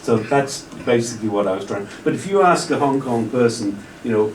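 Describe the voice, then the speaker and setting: A man's voice: medium pitch, expressive, fairly quick, a little mellow, far from the mic, slight background noise. A male lecturer answering an audience question at length, rambling with frequent restarts. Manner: discursive, many restarts and "you know". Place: lecture hall. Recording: roomy hall audio from afar.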